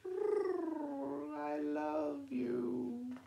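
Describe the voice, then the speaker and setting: Big male storybook bird, wistful, plaintive, higher-pitched, roomy recording; performed by a narrator. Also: drawn-out words, vocal fry, muffled recording, very noisy, heavily acted. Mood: aching. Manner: plaintive, sad delivery. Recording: echoey room.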